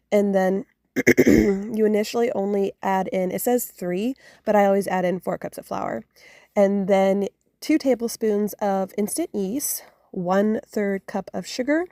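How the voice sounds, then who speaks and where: A woman talks through her day at home as she cooks, her voice a little off from a cold she is getting over. She rambles in a friendly, easygoing way, full of ums and restarts, at an ordinary middle pitch, with soft music playing faintly underneath. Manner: chatty and rambling, with many fillers and restarts. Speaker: homestead vlogger. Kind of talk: casual cooking vlog narration. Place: home kitchen.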